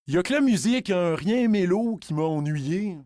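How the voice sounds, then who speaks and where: A man's voice: high-pitched, animated, normal speed, darkish tone, no echo, clean studio sound. A man playing an enthusiastic moviegoer in a casual scripted chat with a friend. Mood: agreeable.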